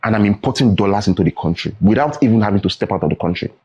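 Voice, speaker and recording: A man's voice: lowish voice, expressive, rapid-fire, a little mellow, slightly rough, highs cut off. A young adult man with a Nigerian accent, a guest in a studio interview, explaining earnestly and often asking "right?" or "you know". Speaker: tech training founder, guest.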